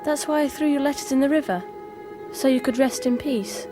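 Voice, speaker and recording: Timid, high-voiced schoolgirl acted by a woman. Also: brisk, close to an everyday voice. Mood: wary, matter-of-fact. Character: timid but matter-of-fact modern schoolgirl. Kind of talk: 1990s radio drama acting. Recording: radio play with music underneath.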